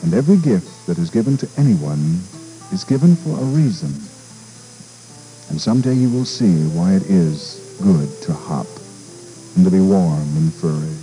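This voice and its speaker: A man voicing a great silver wolf in a storybook reading, speaking low, slow and measured. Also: animated, warm tone, faint music behind, low-quality recording. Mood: gentle, wise. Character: great silver male wolf, calm, wise and deliberate.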